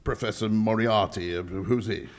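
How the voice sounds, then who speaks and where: A man's voice: on the low side, normal speed, close to an everyday voice. A man playing an alarmed, pompous lordly bank governor in a live 1940s-style radio mystery, emphatic and melodramatic. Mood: puzzled.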